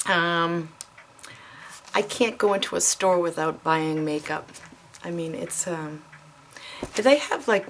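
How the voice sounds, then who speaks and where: Chatty low-voiced middle-aged woman. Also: fairly bright tone, a little hiss or hum. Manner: chatty, frequent ums and self-corrections. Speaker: vlogger. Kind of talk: casual chat to camera.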